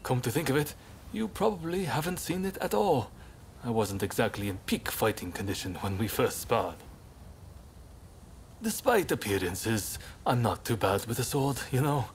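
A male actor as a young samurai rebel leader from a video game, polite and earnest. His pitch moves in lively swings while his phrasing stays measured and composed, with some ambient noise behind him. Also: close to an everyday voice. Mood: quietly confident. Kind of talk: video game cutscene acting.

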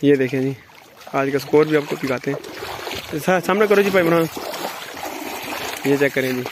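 A man giving casual commentary from the lake shore while fishing, calling out quick instructions to his friends. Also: on the high side. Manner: calls out quick instructions while landing fish. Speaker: angler. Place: outdoors, standing in a lake at the shore. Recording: outdoor lakeside recording with clear noise.

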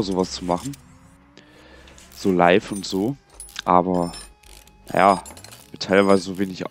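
Man with a cold, chatty gamer. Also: sits low. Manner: chatty, rambling, muttering to himself while playing. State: has a cold. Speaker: gaming channel host. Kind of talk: casual let's play commentary.

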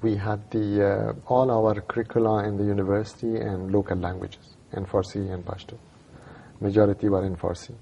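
Afghan man, fifties, lowish gravelly voice, halting studio interview English. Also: level tone, highs cut off, slight background noise. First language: Dari. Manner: halting, many fillers and restarts. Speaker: civil society director, interview guest. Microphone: lapel.

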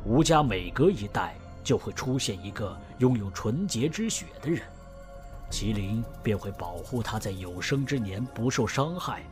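A knowing family elder played by a man recounts an old family legend in a fan audio drama. His voice sits somewhat low and he tells it in an even, measured way. Soft music plays behind him. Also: close to an everyday voice. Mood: explaining. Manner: explanatory, storytelling, measured.

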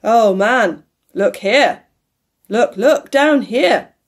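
A woman's voice: medium pitch, fairly even intonation, unhurried, darkish tone, natural voice. A woman voicing a grave, sorrowful aging male spirit in a storybook, with solemn, emphatic delivery. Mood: stern warning.

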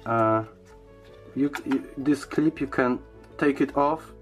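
A man giving a casual unboxing and product review in a halting, hesitant way, often repeating words. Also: mid-range voice, soft background music.

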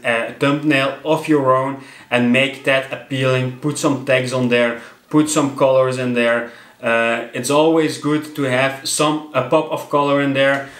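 A man's voice: mid-range voice, fairly bright tone, reverberant. A young man from Belgium with a Belgian accent, hosting a talking-head tutorial from his desk and explaining with many fillers and restarts. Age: young adult. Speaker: channel host. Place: small home office room, indoors at a desk. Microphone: fixed camera at desk distance.

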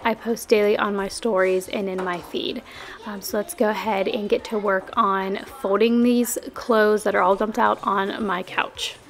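A young woman, a stay-at-home mom, talking in a relaxed, friendly way with plenty of "um"s as she narrates cleaning her home. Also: medium pitch, unhurried. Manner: friendly, relaxed, chatty with frequent "um". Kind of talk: chatty cleaning vlog voice-over. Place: home, kitchen and living room.

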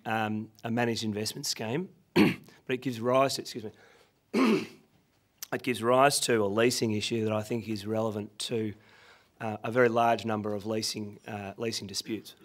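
A man in his thirties with an Australian accent and a husky voice after losing it, presenting a legal seminar from a podium with many fillers. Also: on the low side, steady tone, moderate pace. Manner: self-deprecating anecdotal delivery with frequent fillers. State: husky, recovering from lost voice. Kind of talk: professional seminar talk. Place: conference room. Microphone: podium microphone.

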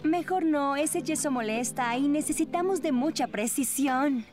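A woman's voice: sits high, normal speed, music in the background, close to an everyday voice. A woman voicing a sensible teenage cartoon schoolgirl with a dry, teasing manner. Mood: focused. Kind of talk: Spanish-language cartoon dub.

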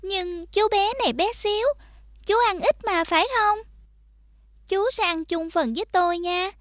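A woman voicing a kind-hearted little peasant girl in an animated fairy tale. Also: very high-pitched, moderate pace, no echo, studio quality. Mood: kind, curious.

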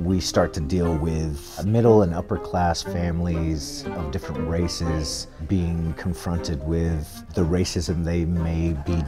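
Man, very low register, unhurried interview delivery, guitar underneath. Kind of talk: promotional interview explaining a play. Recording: guitar music behind the voice. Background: guitar music.

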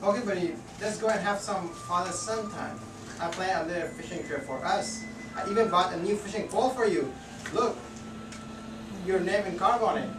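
A woman's voice: fairly low pitch, moderate pace, close to an everyday voice. A woman playing an eager, encouraging father bear in a children's puppet show. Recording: echoey, noisy room from far off.

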